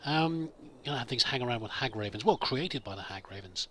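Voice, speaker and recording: A man's voice: medium pitch, lively intonation, fairly quick, sharp tone, a little hiss or hum. A man musing aloud as he plays, improvising in-character commentary and talking to his companion as a let's play host.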